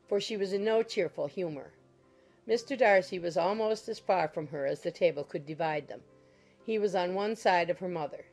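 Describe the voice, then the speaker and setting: A woman's voice: on the low side, darkish tone. A woman reading scripted literary narration for a dramatized audiobook.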